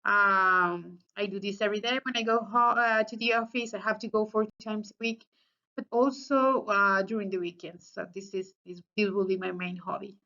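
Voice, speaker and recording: Bright-voiced Colombian woman, hesitant. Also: fairly even intonation, normal speed. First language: Spanish. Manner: hesitant, many fillers and restarts. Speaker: student introducing herself. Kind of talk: casual self-introduction. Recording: slightly muffled low-fidelity recording.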